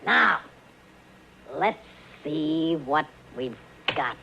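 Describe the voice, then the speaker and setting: A woman's voice: sits low, animated, very slow, gravelly, muffled recording, exaggerated voice. An actress playing a bold, bossy, middle-aged frontier woman in a 1950s radio western, slinging folksy insults. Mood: brash confidence. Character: brash, fiery frontier woman who never backs down. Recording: old radio recording with background hiss.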